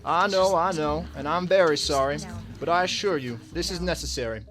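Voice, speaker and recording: A man playing a composed, courteous detective taking charge in a radio drama, formal and measured. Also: a little high, a bit bright, soft background music, close to an everyday voice. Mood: authoritative.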